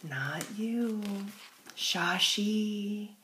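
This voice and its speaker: A woman voicing a bitter, forbidding winter wind in a hushed, slow, whooshing storybook manner. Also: medium pitch, animated, exaggerated voice. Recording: roomy, echoing space.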